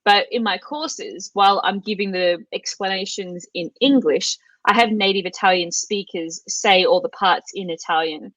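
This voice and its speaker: A woman's voice: mid-range voice, normal speed, few pauses, a bit bright, no echo, highs cut off. A middle-aged Australian woman chatting casually as an interview guest, with lots of fillers and restarts. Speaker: guest, language course creator.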